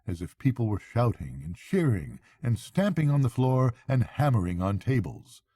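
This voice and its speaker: An older man narrates a children's bedtime story. He takes his time, letting his pitch rise and fall widely, in a close, dry recording. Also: warm tone, dry recording. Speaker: grandfather reading a bedtime story.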